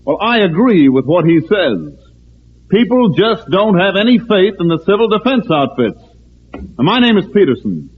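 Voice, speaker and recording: An actor playing a blunt, forthright newspaper reporter in an old-time radio drama. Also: male voice, sits high, very animated, moderate pace, husky, heavily acted. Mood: blunt, indignant. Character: blunt, discouraging newspaper reporter, secretly undercover. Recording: muffled, hissy old radio recording.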